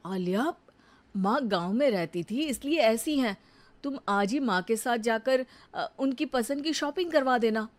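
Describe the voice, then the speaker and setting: A woman's voice: fairly high pitch, lively intonation, measured pace. A woman voicing a dutiful young husband and devoted son in a cartoon story, earnest and coaxing. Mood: coaxing, firm.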